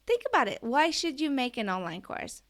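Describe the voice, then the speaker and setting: A woman's voice: high voice, sing-song, a little fast, fairly bright tone. A woman from Norway with a Norwegian accent, talking as an online business coach in an eager, chatty podcast monologue that often asks the listener questions.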